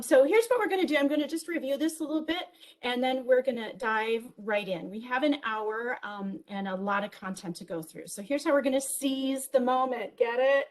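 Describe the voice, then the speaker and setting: A woman with a big voice giving an enthusiastic virtual conference keynote, lively and peppered with ums and small jokes. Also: mid-range voice, low-quality recording. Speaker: keynote speaker.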